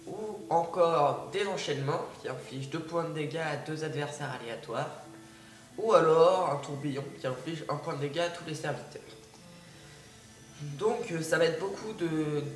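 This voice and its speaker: A man with a slightly high voice, stuffy from a cold, talks through a card game in a halting way full of fillers. He sits back from the mic in an echoey room, and music plays clearly behind him. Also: distant mic. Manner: hesitant, many fillers and restarts. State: has a cold. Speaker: gaming video creator. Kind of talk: casual gameplay commentary. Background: background music.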